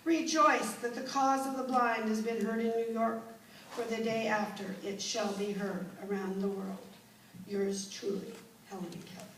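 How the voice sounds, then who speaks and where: A woman playing an impassioned advocate for the blind, reciting a formal, measured speech in a declamatory dramatic style. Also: a little high, steady tone, fairly bright tone. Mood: stirring, hopeful. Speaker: performer in a dramatization. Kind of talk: dramatic recited oration before an audience. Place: indoor room, recorded from a distance. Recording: roomy, distant mic, background noise.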